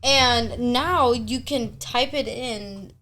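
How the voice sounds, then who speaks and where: A young woman with a fairly high voice over faint noise. She talks casually and slangily, in short bursts within a group chat. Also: extremely slow, bright, forceful tone. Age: young adult. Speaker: podcast panelist.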